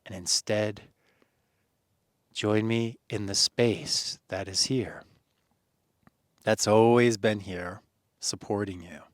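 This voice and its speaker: A man leading a guided meditation in a soothing, earnest manner, phrasing deliberately with pauses and gentle encouragement. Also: lowish voice, steady tone, no room sound, clean studio sound.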